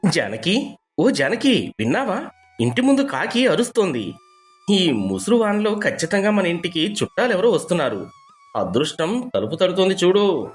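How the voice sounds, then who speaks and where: A man's voice: sits high, very animated, normal speed, faint music behind. A man playing a cheerful, eager village husband who loves having guests in a storybook tale. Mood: excited. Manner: eager, persuasive. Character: cheerful, hospitable village husband who adores visiting relatives.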